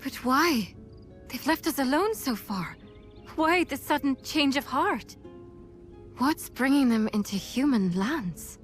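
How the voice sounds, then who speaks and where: High-voiced female fantasy mercenary. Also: lively intonation, slow pace. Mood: grave, pensive. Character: seasoned female mercenary, familiar and easygoing. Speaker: video game character. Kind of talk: English game cutscene voice acting. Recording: music behind the voice.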